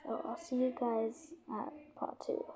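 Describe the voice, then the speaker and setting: A woman casually narrating a made-up day in first person, her voice sore and lowered, often dropping to a soft whisper as she rambles on. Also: little pitch movement, takes it slowly, breathy edge, poor audio. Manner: rambling, with frequent "obviously" and restarts. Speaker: channel creator narrating a roleplay.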